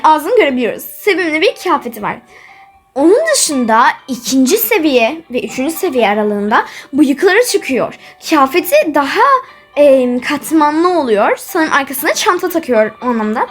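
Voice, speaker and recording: A woman with a very high, girlish-pitched voice chats casually to her viewers as a game channel host. She gushes as she describes what is on screen, with music playing behind her. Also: sing-song, moderate pace. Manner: gushing, chatty, often addressing viewers.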